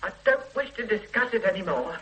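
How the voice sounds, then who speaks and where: An actress in a radio play voicing a bitter, resentful older invalid wife who speaks in a cold, cutting, controlled way. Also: female voice, medium pitch, animated, fairly quick, a bit bright, dull audio, natural voice.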